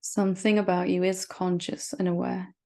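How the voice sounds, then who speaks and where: A woman leading a guided meditation as a spiritual teacher, speaking gently and reassuringly with long, unhurried pauses. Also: on the low side, little pitch movement, vocal fry.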